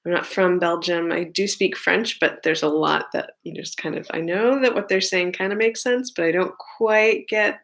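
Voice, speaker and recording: A woman's voice: fairly low pitch, moderate pace, vocal fry, few pauses, fairly bright tone. A singer-songwriter woman chatting casually between songs at a concert streamed from her home, rambling and chatty with lots of fillers. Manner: rambling and chatty, many fillers and side remarks.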